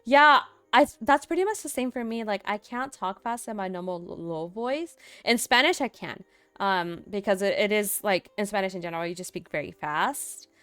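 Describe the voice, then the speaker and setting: A young woman streamer in casual chat at home, talkative and laughing often, acting out quotes in a higher voice. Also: moderate pace, a bit bright, clear, resonant tone, no room sound. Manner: chatty, laughs often, acts out quotes in a high voice. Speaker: streamer host. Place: home room.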